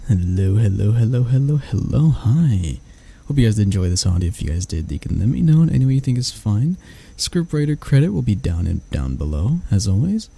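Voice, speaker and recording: A man's voice: on the low side, very animated, a little fast, gravelly, hardly any pauses, mellow, breathy edge, dry recording. A man, an audio roleplay creator, chatting casually to his listeners as he signs off. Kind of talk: casual channel sign-off.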